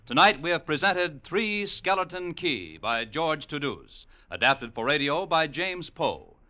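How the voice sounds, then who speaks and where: A man's voice: a little high, sing-song, unhurried, pushed and bright. A man announcing in the formal, polished style of an old-time American radio broadcast. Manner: formal, polished sign-off delivery. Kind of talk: 1940s-50s radio announcing. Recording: vintage radio broadcast with music.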